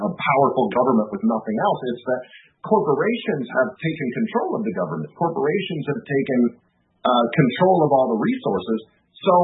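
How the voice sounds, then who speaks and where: A man, a lawyer and author, talking casually about his novel in an interview, thoughtful and halting, with frequent restarts. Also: medium pitch. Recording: tinny phone line.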